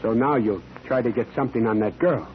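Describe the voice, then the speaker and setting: A man's voice: medium pitch, brisk, close to an everyday voice. An actor playing a reproachful, indignant man in 1940s radio style, on a noisy old recording.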